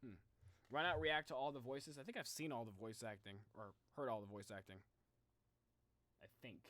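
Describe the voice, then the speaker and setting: A man streaming a casual reaction, thinking out loud in slangy talk and addressing his viewers directly. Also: medium pitch, steady tone, normal speed, no echo. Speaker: streamer, video host. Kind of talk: casual livestream reaction chat.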